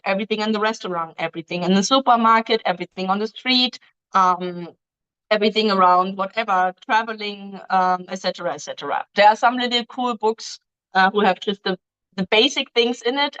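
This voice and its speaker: A woman hosts a casual live stream, reading out viewer questions and answering at length. Her voice sits at a middle pitch, and her English runs loose, with frequent ums and restarts. The audio is dull and lo-fi. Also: a bit bright. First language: German. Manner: reads questions aloud, answers in loose non-native phrasing with many ums. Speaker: dating agency host answering viewer questions.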